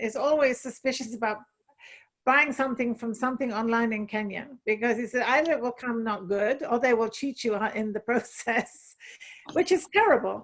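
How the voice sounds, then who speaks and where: A woman speaking earnestly on a video call, giving advice in an online group discussion and laughing lightly between points. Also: fairly high pitch. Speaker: panelist.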